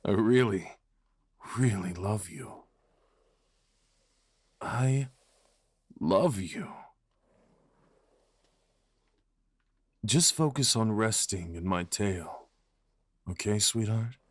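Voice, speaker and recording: A man playing a devoted, possessive fox spirit husband in an intimate ASMR roleplay, rambling softly and tenderly with murmured endearments. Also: lowish voice, lively intonation, takes it slowly, long pauses, slightly rough, dry recording, exaggerated voice. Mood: soothing, loving.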